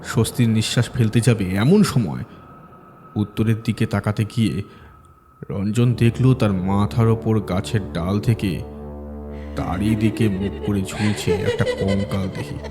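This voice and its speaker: A man narrating a village ghost story in a measured, dramatic manner. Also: lowish voice, very animated, music in the background. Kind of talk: scripted horror audio story narration.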